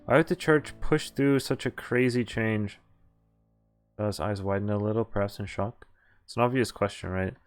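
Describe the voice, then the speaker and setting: A man's voice: fairly low pitch, normal speed, smooth. A young man on a live playthrough, reading visual novel text aloud and casually breaking off to comment. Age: young adult. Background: game music.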